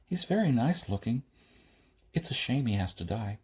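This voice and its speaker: A man's voice: mid-range voice, big pitch swings, moderate pace, darkish tone, husky. A man voicing a cheerful young woman of about twenty-one, a sweet, eager spaceship pilot in an audiobook. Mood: concerned, sweet.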